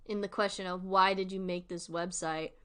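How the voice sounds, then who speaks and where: A woman's voice: medium pitch, steady tone, brisk, a bit bright, clear, resonant tone. A woman hosting a livestream with casual, informal commentary, rambling and thinking aloud as she goes. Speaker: livestream host.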